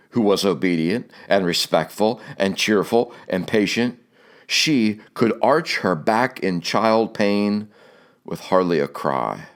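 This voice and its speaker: A man narrating a literary audiobook in a measured, dramatic storytelling manner. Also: on the low side, smooth.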